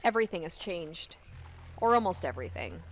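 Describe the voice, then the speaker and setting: A woman's voice: lowish voice, sing-song, a little fast, heavy background noise. A woman hosting a let's play, reading a visual novel aloud and adding casual commentary. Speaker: let's play host and narrator.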